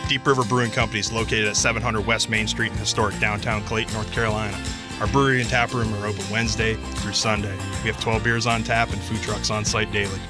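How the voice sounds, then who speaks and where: A man's voice: fairly low pitch, little pitch movement, fast, over music. A man, a business owner, stiffly reading scripted lines in a radio advertisement.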